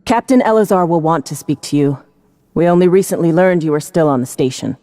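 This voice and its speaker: A solemn crew member in a sci-fi game, voiced by an actor. The voice is mid-pitched and mellow, recorded very dry and close. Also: a little fast, dry recording, natural voice. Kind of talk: video game drama acting.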